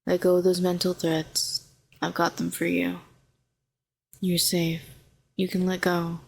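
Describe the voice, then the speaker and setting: A woman's voice: on the low side, steady tone, unhurried, slight background noise, lightly acted. A woman playing a telepathic villain, a former hero who is sardonic yet tenderly protective, in an intimate audio roleplay. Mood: soothing, tender. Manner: sharp and sardonic, turning soothing and coaxing.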